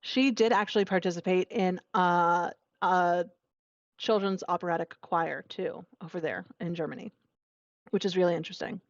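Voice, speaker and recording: A woman's voice: fairly low pitch, fairly even intonation, normal speed, dry recording. A young woman from Virginia, co-hosting a podcast in casual chat, talking in a chatty, enthusiastic way with many fillers. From Virginia, United States. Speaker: podcast co-host. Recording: dull lo-fi sound.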